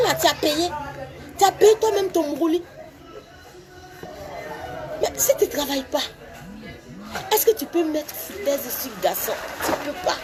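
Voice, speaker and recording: A woman, talking to camera from an office with a cold, preaching and laughing in loud, lively street talk. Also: high voice. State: has a cold. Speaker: vlogger.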